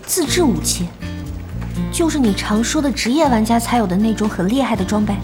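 A woman's voice: a little high, animated, fairly quick, close to an everyday voice. A woman playing a stubborn, determined young novice gamer in an audio drama, with a resolute manner. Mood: curious. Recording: music bed under the dialogue.

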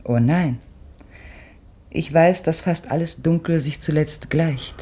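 A gentle mother in a radio drama with a husky, lowish voice. She is pensive and tender, speaking in measured, poetic phrases. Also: full character voice. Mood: gentle, reserved. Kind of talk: literary radio play acting.

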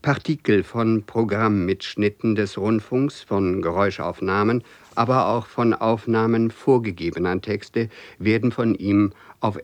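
A low-pitched man presents a radio play from a script. His wording is formal and literary, and the sound is close and dry, with very little room. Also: dry recording. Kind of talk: scripted introduction.

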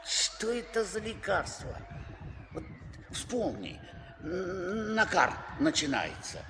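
Chatty older seaman, very animated, high-pitched. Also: takes it slowly, full character voice. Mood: kindly, musing. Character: kindly, chatty older seaman, folksy and encouraging. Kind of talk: Russian radio play acting. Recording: old radio play, reverberant, faint noise, ambience.

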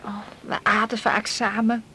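An actress playing a lovelorn, wistful young woman in a naturalistic radio drama, confiding in a halting, often breathy way. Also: medium pitch, little pitch movement, sharp tone, a little hiss or hum. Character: lovelorn, wistful young woman confessing hopeless love to colleague.

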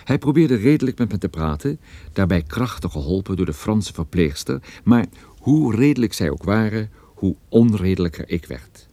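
An actor playing a hard-boiled private detective who narrates a radio play in a dry, wry, laconic way. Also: male voice, on the low side, sing-song, normal speed, warm tone, no room sound. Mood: dry humour. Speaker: narrator and protagonist.

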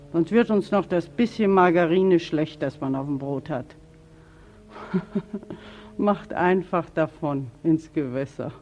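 Dull, noisy old radio recording of a callous housewife. The voice is fairly high and slow, offhand and flippant, with mock sympathy. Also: male voice, darkish tone. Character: callous, flippant petty-bourgeois Frau Kramer. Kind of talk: 1940s radio drama acting.

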